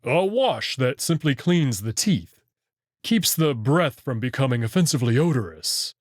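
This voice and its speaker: A man's voice: mid-range voice, sing-song, unhurried, dry recording, studio quality. A man voicing a friendly young male wolf with a polite, slightly formal, eager manner in a visual novel. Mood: explanatory. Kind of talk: visual novel character reading.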